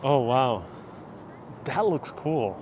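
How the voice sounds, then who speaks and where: A man's voice: medium pitch, big pitch swings, very slow, background noise. A man from Puerto Rico hosting a casual live-streamed tour of a sculpture park, chatting and reading viewer comments aloud. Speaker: vlog host. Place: outdoors in a large sculpture park. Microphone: phone on gimbal with wireless mic.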